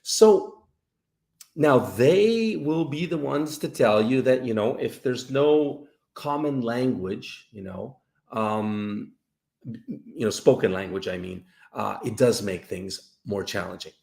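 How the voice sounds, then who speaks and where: A man with a gravelly edge and an animated, widely swinging pitch hosts a casual livestream. He explains haltingly and emphatically, often repeating himself to drive a point home. The sound is roomy and echoey. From Canada. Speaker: host of a live dating-advice show. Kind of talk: casual livestream chat with viewers.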